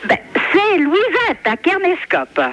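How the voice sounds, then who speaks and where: A woman's voice: very high-pitched, wildly swooping pitch, slow pace, a bit bright. A woman in her fifties from France chatting casually on a phone call, accommodating and hesitant. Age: 50s. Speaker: member of the public receiving prank call. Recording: phone call recording with faint noise.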